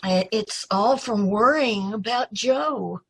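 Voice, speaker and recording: A woman acting a timid, polite young woman in an old-time radio play, speaking hesitantly and apologetically in a breathy, husky voice. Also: fairly high pitch, takes it slowly, exaggerated voice. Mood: sad, shy. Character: timid, polite young woman seeking her lost brother.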